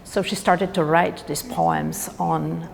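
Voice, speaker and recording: A woman's voice: low voice, steady tone, slow pace, vocal fry. A woman speaking as an exhibition curator in a documentary interview, recorded in a reverberant room. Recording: reverberant hall, faint music behind.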